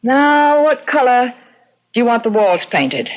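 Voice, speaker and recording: An actress playing a resolute, wryly amused middle-aged widow in a 1970s radio drama. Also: female voice, on the high side, sing-song, measured pace. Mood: brisk, pleased. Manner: decisive, wry. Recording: dull old radio broadcast.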